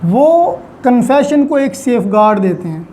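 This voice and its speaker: A woman with a Pakistani accent giving an explanatory law lecture, deliberately and with repeated key points. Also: fairly high pitch. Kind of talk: explanatory lecture mixing in English legal terms. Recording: faint steady background noise.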